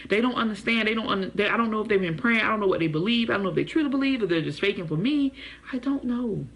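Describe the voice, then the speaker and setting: A mother speaking anxiously and rapidly about her children. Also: mid-range voice, lively intonation, a bit bright. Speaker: parent.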